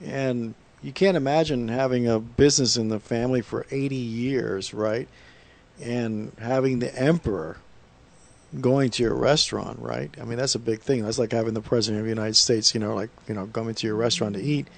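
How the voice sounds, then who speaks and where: A man co-hosts a radio show in a gravelly voice at a middle pitch. He cuts in with emphatic remarks, leaning on "you know" and "right?" to drive his points home. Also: fairly even intonation, brisk. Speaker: radio co-host. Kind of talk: radio interview.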